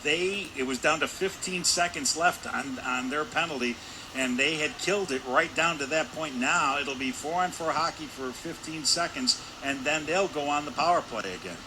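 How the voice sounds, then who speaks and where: A man's voice: on the high side, normal speed. A man giving loose, conversational color commentary on a hockey game. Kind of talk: conversational sports analysis. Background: noisy indoor ice rink.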